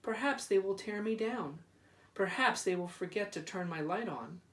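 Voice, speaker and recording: A man's voice: high voice, expressive, moderate pace, fairly bright tone. A man voicing a small, childlike, self-important lighthouse in a children's storybook, with emphatic delivery. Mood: worried. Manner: emphatic, exclamatory short calls. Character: proud little lighthouse, childlike, self-important, easily worried. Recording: roomy echo, distant microphone.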